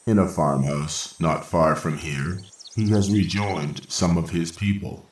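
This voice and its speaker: A man acts as a composed robot caretaker in a radio play, with a low voice that rings in a roomy echo. The phrasing is formal, logical and very unhurried. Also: steady tone, slight background noise. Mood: serene, matter-of-fact. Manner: measured, formal, matter-of-fact. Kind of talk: old-time radio science fiction acting. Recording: roomy, echoing voice.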